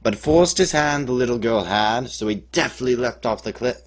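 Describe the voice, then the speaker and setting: A man's voice: medium pitch, animated, moderate pace, voice pushed up, close to an everyday voice. A man playing a mock-heroic balladeer, reciting a comic rhyming ballad in a pompous, theatrical, emphatic way. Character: mock-heroic balladeer, grandly recounting a young man's misadventure.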